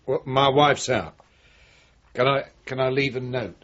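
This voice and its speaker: An actor playing a middle-aged British police chief, bitter and resigned, grumbling hesitantly in a radio drama. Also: male voice, medium pitch, fairly even intonation, measured pace, a bit bright, lightly acted. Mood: reluctant, stalling. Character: weary, bitter male police chief being arrested. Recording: dull lo-fi radio drama recording.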